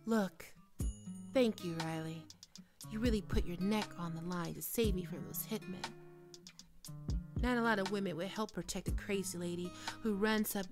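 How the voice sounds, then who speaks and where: A woman's voice: medium pitch, moderate pace, pauses often, music in the background, close to an everyday voice. A woman playing a grateful, sultry heroine on the run in a spy film love scene. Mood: tender, grateful.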